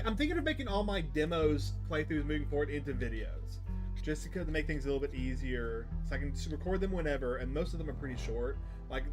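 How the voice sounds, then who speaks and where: A man hosting a gaming livestream, chatting casually and enthusiastically with his viewers. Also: fairly high pitch. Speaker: livestream host. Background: game music.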